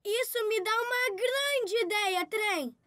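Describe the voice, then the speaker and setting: A woman's voice: very high-pitched, slow pace. A woman voicing a curious, cheerful, plucky little boy in a Brazilian children's cartoon dub, with an eager, polite, wide-eyed manner. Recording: music behind the voice.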